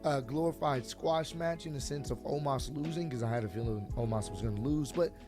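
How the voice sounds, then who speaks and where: Man, hoarse and a little sore, casual wrestling review, chatty, speaks to viewers directly. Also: medium pitch, fairly quick, over music.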